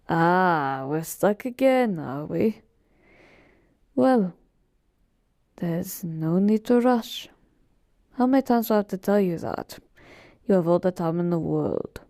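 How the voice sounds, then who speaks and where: A woman playing a polite, weary genie bound to serve, speaking in a soft, measured, wistful way in an intimate audio roleplay. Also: lowish voice, dry recording, clean studio sound. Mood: patient, coaxing. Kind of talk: slow, intimate roleplay monologue to a listener.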